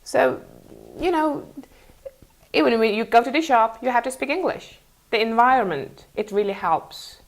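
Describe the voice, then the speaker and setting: Casting: young Mongolian-accented woman, fairly high pitch, casual interviewee who restarts phrases in second-language English. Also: rough recording. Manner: halting, self-correcting non-native English with frequent "you know". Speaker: interview guest, international school teacher.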